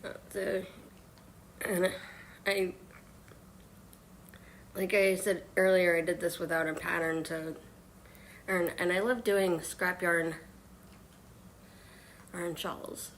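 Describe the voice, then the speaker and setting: Hoarse soft-spoken woman, slow and halting. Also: on the low side, steady tone, slight background noise. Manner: halting, many ums and uhs. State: hoarse from illness. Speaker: knitting livestreamer. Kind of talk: soft-spoken live stream chat.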